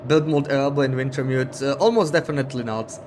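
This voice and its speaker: A man's voice: mid-range voice, steady tone, fast talker, darkish tone, slight background noise. A man streaming a game live, chatting casually with viewers, thinking aloud with frequent restarts. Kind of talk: casual gameplay chat, reading viewer comments.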